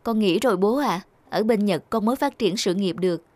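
A woman's voice: mid-range voice, animated, a little fast, darkish tone, no room sound, close to an everyday voice. A young woman playing an earnest grown daughter back from studying abroad in a cartoon. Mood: matter-of-fact. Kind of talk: Vietnamese cartoon dubbing.

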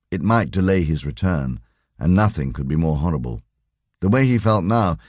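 A man reading a short story as an audiobook narrator, with dramatic, expressive delivery. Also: sits low, husky, no echo, studio quality.

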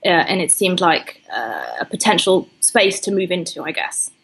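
A woman from the UK, a historian answering interview questions in a measured, articulate way. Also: on the low side, very animated, a bit bright, slight background noise, rough recording. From United Kingdom. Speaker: historian guest.

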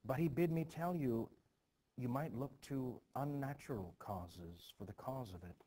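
A man's voice: mid-range voice, a little slow, mellow, lightly acted. A man reading the part of a dutiful young village girl, a deferential messenger in a stage play. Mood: timid, dutiful.

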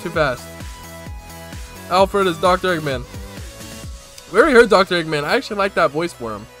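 A man's voice: high-pitched, sing-song, fairly quick, a bit bright, soft background music. A young man giving casual reaction commentary as a video host, close on a desktop microphone. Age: young adult. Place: small room, desk setup.

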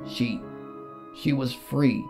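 A narrator reading a fan fiction story in the first person, with solemn, dramatic delivery and pauses. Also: medium pitch. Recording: music under the voice. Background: background music.

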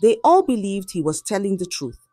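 Lively, dry-miked woman storyteller. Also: mid-range voice, brisk, no echo, faint music behind. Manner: dramatic, expressive storytelling. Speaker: storyteller, narrator. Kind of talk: scripted folktale narration.

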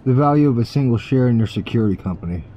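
Man acting space drifter, sci-fi audio drama, sardonic, defiant, terse, average pitch, lo-fi sound. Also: expressive, racing, dark tone, slight background noise, natural voice. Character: cynical, defiant male space vagabond.

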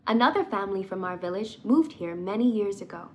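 A woman's voice: mid-range voice, animated, fairly quick, slight background noise, natural voice. A woman voicing a sweet young immigrant girl who tells her story simply and earnestly, as in a children's storybook. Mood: earnest, friendly.

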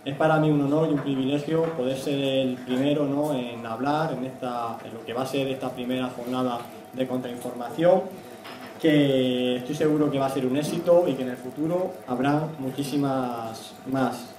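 A man's voice: medium pitch, steady tone, normal speed. A man in his thirties from Spain giving a conversational public talk into a handheld mic, often tagging phrases with a questioning "no?". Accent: Spanish from Spain. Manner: conversational, frequent "¿no?" tags. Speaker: guest speaker, YouTube analyst. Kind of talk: semi-prepared public talk. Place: small indoor meeting room. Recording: echoey room, distant mic, faint noise.

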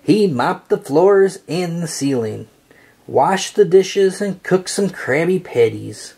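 Slow, husky, animated storybook reader. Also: medium pitch. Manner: expressive, acting out dialogue. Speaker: storybook narrator. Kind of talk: children's read-aloud narration.

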